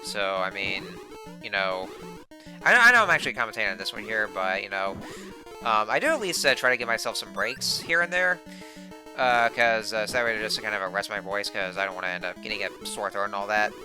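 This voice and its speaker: A hoarse young man giving casual, rambling commentary while playing a video game, full of fillers and restarts. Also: on the low side, lively intonation, a little fast, pushed and bright. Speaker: gameplay commentator. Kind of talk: casual let's play commentary. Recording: game music playing behind the voice.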